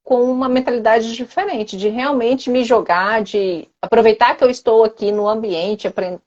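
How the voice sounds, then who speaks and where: A woman's voice: on the high side, steady tone, moderate pace, darkish tone, dull audio. A woman in her thirties with a Brazilian Portuguese accent, chatting as an interview guest, often repeating and restarting phrases and adding tag questions. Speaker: interview guest, former student. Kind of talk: casual conversational interview.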